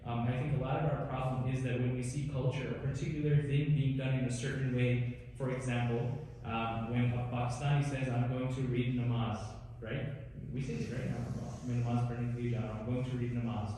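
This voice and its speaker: A man's voice: medium pitch, little pitch movement, a little fast, few pauses, warm tone. Native-Urdu-speaking male panelist, earnest and explanatory, with faint hum behind and long hall reverb. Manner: earnest, explanatory, repeats words while searching. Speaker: panelist imam. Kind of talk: panel talk. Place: large mosque hall. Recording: echoey hall from far off.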